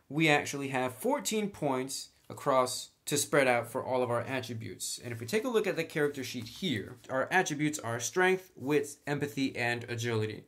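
Man casually walking through a tutorial. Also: medium pitch. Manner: thinks aloud while writing on a sheet. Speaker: host of a tabletop game tutorial. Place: indoors at a table.

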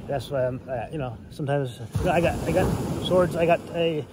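Halting man over loud wind noise. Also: a little high. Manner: hesitant, halting, rambling. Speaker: writer and hobbyist reviewer. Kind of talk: casual product show-and-tell. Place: outdoors on a beach shore. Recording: outdoor wind and water noise.

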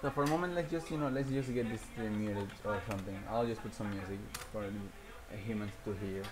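A man's voice: on the low side, a little fast, a crowd in the background, low-quality recording. A man chatting casually on a livestream as a gaming streamer, rambling and hesitant and often repeating himself.

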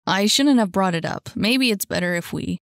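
A woman's voice: mid-range voice, lively intonation, moderate pace, gravelly, no echo, studio quality. A woman reading a storybook and voicing a nervous, self-doubting young man who speaks hesitantly. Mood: subdued.

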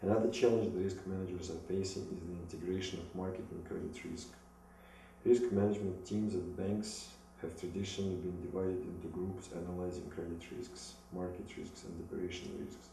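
Low-voiced Russian man in echoey room. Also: fairly even intonation, brisk, gravelly, mellow. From Moscow, Russia. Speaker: business school applicant. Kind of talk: rehearsed self-presentation read from notes. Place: small indoor room against plain wall. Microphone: distant camera mic. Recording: echoey room, distant mic, some noise.

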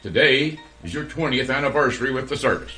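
A man's voice: medium pitch, fairly quick, pushed and bright, soft background music, natural voice. A man playing an officious, nitpicking postal boss in a radio play, with a pompous delivery. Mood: formal, brisk. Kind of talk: radio theater acting.

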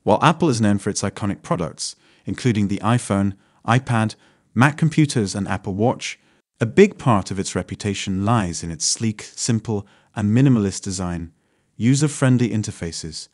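A man reads a finance script in a steady, even voice that lifts a little at the start of each new point. His pitch sits on the low side. The recording is close and dry, with no room sound. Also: normal speed, dry recording. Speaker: narrator of a finance channel. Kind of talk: scripted narration.

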